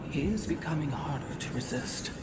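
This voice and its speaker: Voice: mid-range voice, normal speed, very noisy, natural voice. A performer playing a grave alien mystic adviser in a video game cutscene, formal, cautioning and ominous. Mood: ominous, troubled.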